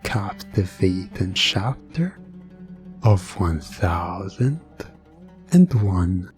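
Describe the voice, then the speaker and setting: A man's voice: low-pitched, big pitch swings, darkish tone, faint music behind, full character voice. A man playing a theatrical, teasing storyteller who narrates a suspenseful audio drama serial. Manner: theatrical, drawn-out suspenseful questions.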